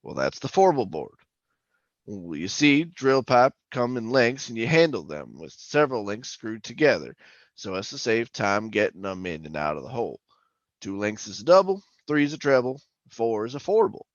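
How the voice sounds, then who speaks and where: A man plays a talkative male oil-rig hand spinning an eerie yarn for a radio horror play. His delivery is colloquial and folksy, with wide swings in pitch. The recording is close and dry but slightly dull. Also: sing-song, moderate pace, dry recording. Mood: folksy narrating. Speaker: actor and narrator. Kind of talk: old-time radio horror drama acting and first-person narration.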